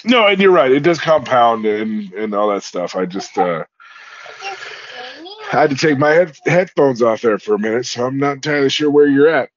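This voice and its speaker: A man's voice: mid-range voice, animated, moderate pace, dull audio. A man in his forties co-hosting a podcast, speaking casually and hesitantly, often restarting. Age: 40s. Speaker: podcast co-host.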